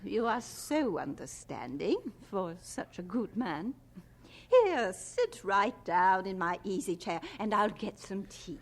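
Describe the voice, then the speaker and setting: A woman's voice: mid-range voice, expressive, takes it slowly. An actress playing a chatty older lady, a gushing and fussy hostess, in a radio drama.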